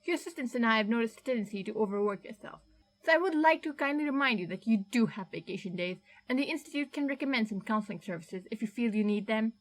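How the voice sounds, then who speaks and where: A woman reading out a boss's email in a formal, measured, politely menacing tone. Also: on the high side, expressive, low-quality recording. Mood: cool, formal.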